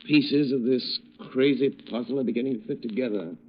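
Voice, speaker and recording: An actor playing a shrewd, confident detective hero in 1940s radio drama style, reasoning earnestly and emphatically. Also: male voice, mid-range voice, brisk, natural voice. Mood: grave, deliberate. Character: shrewd, confident amateur detective and secret crimefighter, adult man. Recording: muffled old-time radio recording.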